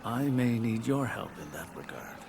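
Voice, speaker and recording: A man playing a composed, shrewd Greek warrior king in a game, talking in a calm and calculating way. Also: medium pitch, moderate pace, creaky. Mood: calm, scheming. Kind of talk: dramatic game cutscene acting. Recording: noisy ambience behind the voice.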